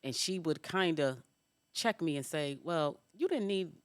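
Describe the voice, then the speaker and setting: A woman's voice: sits low, expressive, unhurried, no room sound. A woman in her fifties co-hosting a podcast, casually agreeing and sharing her own experiences. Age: 50s. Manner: agreeable, frequent affirmations. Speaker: podcast co-host. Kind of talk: casual chat.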